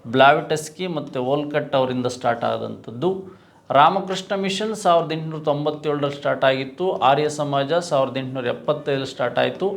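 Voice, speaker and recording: A man's voice: medium pitch, a little fast, creaky. A man with a Karnataka Kannada accent lecturing as an exam coach, didactic, repeating key points and posing rhetorical questions. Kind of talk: lecture, reviewing quiz questions.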